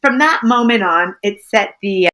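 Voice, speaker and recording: A woman from Canada with a friendly, sing-songy voice, a guest telling lively stories in a casual interview and laughing often. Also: medium pitch, unhurried. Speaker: tour business owner, guest.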